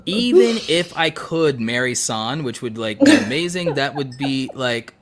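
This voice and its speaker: A man's voice: mid-range voice, very animated, unhurried, a little hiss or hum. A man chatting casually as a podcast co-host, riffing playfully and repeating himself for emphasis, with some swearing. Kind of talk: casual chat about fictional universes.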